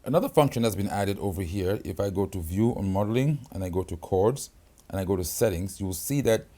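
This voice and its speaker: Deep-voiced man demoing a keyboard. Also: lively intonation, fairly quick, mellow. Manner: explanatory, checks in with "okay?" and "right?". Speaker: product demonstrator. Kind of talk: hands-on keyboard tutorial.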